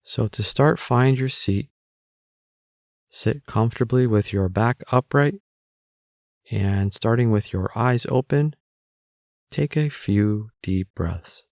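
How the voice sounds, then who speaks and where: A man guiding a meditation with calm, measured, gentle spoken instructions. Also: fairly low pitch, no echo, clean studio sound. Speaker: meditation guide.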